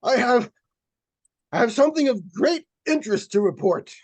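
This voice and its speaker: An actor playing an earnest psychic investigator in old-time radio suspense style, speaking gravely and formally with theatrical weight. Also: male voice, very high voice, lively intonation, normal speed, no room sound. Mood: shaken, breathless dread. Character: earnest, portentous male psychic researcher and ghost hunter.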